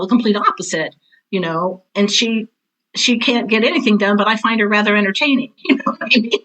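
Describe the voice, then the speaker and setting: Bright-voiced, forthright American woman in her sixties. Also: mid-range voice, rough recording. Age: 60s. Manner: blunt, forthright, anecdotal. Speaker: interview guest, drama teacher and author. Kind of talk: candid personal conversation.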